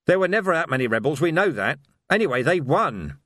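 A man's voice: on the high side, very animated, a little fast, a bit bright, no echo, studio quality, voice pushed up. A man voicing a plain-spoken, doubting watchman subordinate in an audiobook, matter-of-fact. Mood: sceptical.

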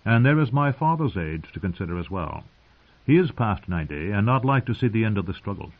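A man's voice: fairly low pitch, big pitch swings, fairly quick, narrow, tinny audio. A man narrating an audiobook as a courteous, sly nobleman envoy with a formal, diplomatic and politely insistent manner. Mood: smoothly persuasive.

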